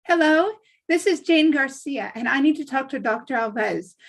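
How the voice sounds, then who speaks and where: A woman's voice: sits high, animated, moderate pace, gravelly. A woman acting an earnest, disbelieving young woman in a comedy drama table read, insistent and flustered.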